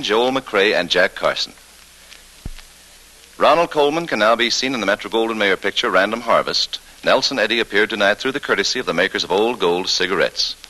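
A man's voice: fairly low pitch, sharp tone, low-quality recording. A man hosting an old-time 1940s radio show with formal, polished, ceremonious scripted announcements. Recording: vintage 1940s radio broadcast recording.

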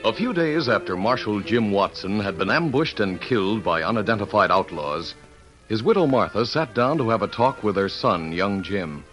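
A man's voice: lowish voice, expressive, moderate pace, rough recording. A man announcing and narrating an old-time radio western in a grand, declamatory style. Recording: vintage radio recording with music. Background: music.

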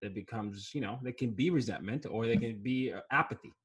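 A man's voice: mid-range voice, fairly quick, a bit bright, breathy edge. A man from Nigeria, an author and guest, talking earnestly and reflectively in a conversation and often repeating words as he starts a thought. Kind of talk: conversational interview.

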